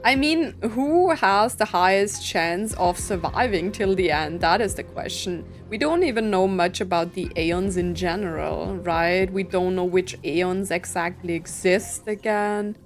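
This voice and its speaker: A woman's voice: on the low side, unhurried, few pauses, faint music behind. A woman giving informal live gameplay commentary, thinking aloud and questioning as she goes. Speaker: gaming video creator reacting to a story quest.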